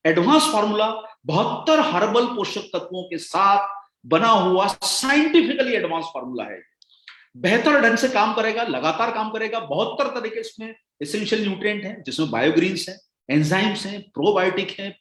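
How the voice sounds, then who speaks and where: A man giving a product training talk, animated and emphatic, often addressing his listeners as colleagues. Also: on the high side, echoey room.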